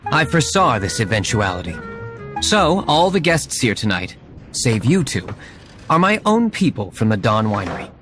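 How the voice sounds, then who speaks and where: Man playing a stern, aloof young nobleman, expressive pitch. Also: normal speed, music in the background, close to an everyday voice. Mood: composed. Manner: curt, dry, formal. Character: stern, aloof young tavern owner and secret vigilante. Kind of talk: video game voice acting.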